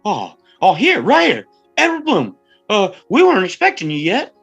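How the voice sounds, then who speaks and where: A woman's voice: mid-range voice, wildly swooping pitch, normal speed, breathy edge, muffled recording, faint music behind, full character voice. Woman playing a tipsy, stammering small-town mayor. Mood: flustered, welcoming. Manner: stammering, rambling, folksy. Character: friendly, tipsy forty-year-old small-town mayor, a man. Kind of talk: screenplay table read.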